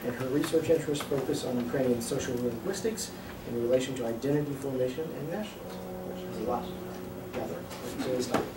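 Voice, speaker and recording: A man's voice: mid-range voice, fast. Man hosting a lunch talk, casual announcer, many uhs; roomy hall, far mic, background noise. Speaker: event host. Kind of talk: announcements and speaker introduction. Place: lecture room. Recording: echoey room, distant mic, noisy.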